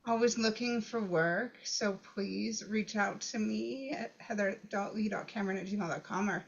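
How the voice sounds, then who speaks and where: A woman's voice: medium pitch, normal speed, creaky. A woman giving a casual, rambling self-introduction as an actor and writer. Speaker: actor and freelance writer at a table read. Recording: dull lo-fi call audio.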